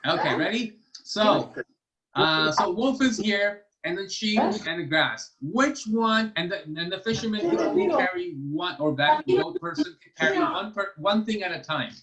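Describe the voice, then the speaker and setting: A man's voice: high-pitched, sing-song, normal speed, over a noisy crowd, low-quality recording. A man hosting a children's storytime and trivia over a video call, explaining step by step in a patient, encouraging way. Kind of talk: casual teaching to children.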